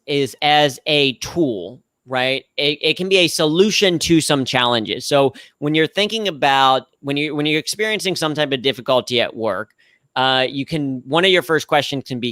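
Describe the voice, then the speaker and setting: A young man with a slightly nasal, smooth and resonant voice talks in an upbeat, explanatory way. He pokes fun at himself as he goes. The sound is close and dry, with no room echo. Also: medium pitch, fairly bright tone. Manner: upbeat, explanatory, self-deprecating. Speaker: guest, humor trainer. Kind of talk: conversational interview answers.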